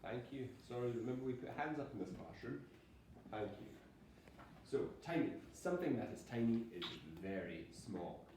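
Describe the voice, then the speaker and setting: Mellow male primary teacher in an echoey classroom. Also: medium pitch, lively intonation, normal speed. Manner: patient, encouraging, simple explanations. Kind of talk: classroom lesson with read-aloud examples. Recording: echoey classroom, distant mic, faint noise.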